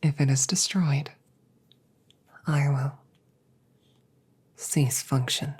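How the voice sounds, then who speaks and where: A woman playing a calm, reassuring damaged female android in an ASMR audio roleplay, speaking in soft, breathy whispers with measured, formal phrasing. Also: deep voice, exaggerated voice. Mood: calm, instructing. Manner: slow, measured, precise formal phrasing with pauses.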